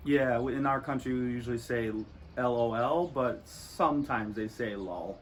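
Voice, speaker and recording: Midwest american guy, chatty student, mid-pitch voice, faint hiss behind. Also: moderate pace. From Chicago, US Midwest. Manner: easygoing, chatty, joking. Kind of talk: casual chat.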